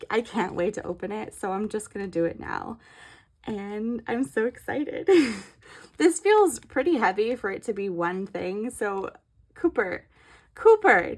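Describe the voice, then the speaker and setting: A young woman chatting casually as a vlogger, giggly and gushing, her throat a little scratchy from allergies. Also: fairly high pitch. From California. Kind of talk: casual chat.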